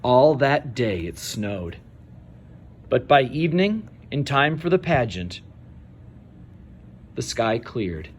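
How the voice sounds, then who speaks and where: A man narrates a children's storybook at an unhurried pace, with dramatic pauses. His mid-pitched voice rises and falls expressively, and there is noticeable background noise. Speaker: storybook reader.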